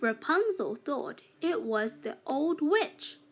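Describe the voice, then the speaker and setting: A woman reading a children's fairytale aloud as a storybook narrator, with deliberate phrasing for young learners. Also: sits high, big pitch swings.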